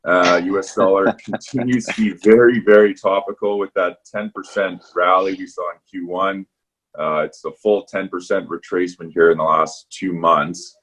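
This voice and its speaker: A man's voice: sits low, fairly even intonation, moderate pace, fairly bright tone, breathy edge. A man hosting a semi-formal investment conference call. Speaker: portfolio manager hosting the call.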